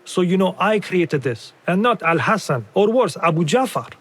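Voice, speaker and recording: Proud game craftsman, self-assured, slightly high voice. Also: sing-song, normal speed. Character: proud craftsman showing his work. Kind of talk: video game acting.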